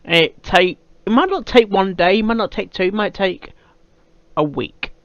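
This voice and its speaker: British male reaction host, highish lively voice, halting and repetitive delivery, dull lo-fi mic. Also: no echo. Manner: halting, repeats words and phrases. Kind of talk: casual off-the-cuff reaction commentary. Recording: dull lo-fi microphone sound.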